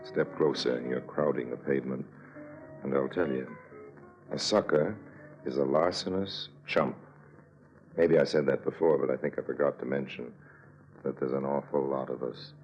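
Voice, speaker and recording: A man playing a suave, sardonic con artist in a 1950s radio drama, with wry, theatrical, deliberate phrasing. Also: very deep voice, fairly even intonation, darkish tone, highs cut off, faint music behind. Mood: smug, wry.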